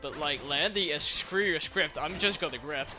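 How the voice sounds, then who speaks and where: Casting: excitable Dutch-accented male co-commentator, slightly raised pitch, game music in the background. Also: normal speed. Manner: excitable, stumbling restarts. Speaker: esports co-commentator. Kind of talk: live color commentary.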